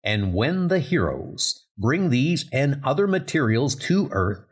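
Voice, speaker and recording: Homem narrando quadrinhos de forma dramática, entonação viva. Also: male voice, medium pitch, big pitch swings, slow pace, slightly rough. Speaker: narrator of a comic dub. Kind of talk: dramatic scripted narration.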